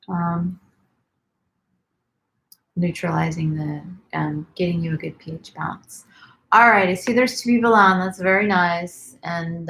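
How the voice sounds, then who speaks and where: A woman chatting casually on a livestream about wellness, speaking softly and rambling with many pauses and ums. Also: lowish voice, vocal fry, rough recording. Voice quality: calming voice. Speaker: wellness vlogger.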